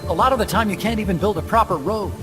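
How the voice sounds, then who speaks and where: An intense, nerdy scientist, played by a man in a video game cutscene. His voice sits fairly high as he explains things earnestly, pausing for drama. Music runs clearly underneath. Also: natural voice. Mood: intent, explaining.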